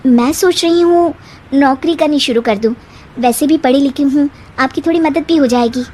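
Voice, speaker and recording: A woman's voice: high-pitched, moderate pace, warm tone, voice pushed up, natural voice. A woman voicing a caring, patient young wife and daughter-in-law in a family story. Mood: gentle concern.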